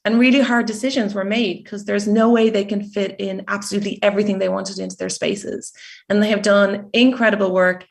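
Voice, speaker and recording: A woman's voice: medium pitch, creaky, far from the mic. A woman hosting an online festival opening, speaking earnestly and appreciatively with a few hesitations. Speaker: festival host. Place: home.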